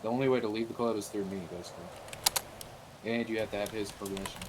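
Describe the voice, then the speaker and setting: A man's voice: on the low side, steady tone, quick pace. A man explaining things bluntly in casual game voice chat, with some swearing. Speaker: roleplay club leader. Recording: clearly noisy mic, clicks, typing.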